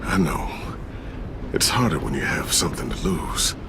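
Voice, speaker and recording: An actor playing a gentle fatherly mentor in a game, with an American accent, tender, reassuring and gravelly. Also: extremely low pitch, lively intonation, normal speed, heavily acted. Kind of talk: game voice acting, intimate dramatic dialogue. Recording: game audio with clear ambient noise.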